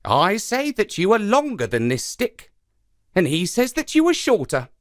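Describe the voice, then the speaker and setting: A small, boastful trickster spider from a children's folktale, as a male storyteller puts him on. He speaks high and with lively swings of pitch, confident and persuasive, always working an angle. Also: moderate pace, dry recording, studio quality, exaggerated voice. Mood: sly, eager.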